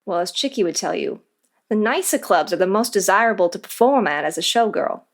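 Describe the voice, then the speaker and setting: A woman with a medium-pitched voice speaks as an interview guest. Her delivery is scripted and explanatory, with a conversational touch. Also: animated, a little fast.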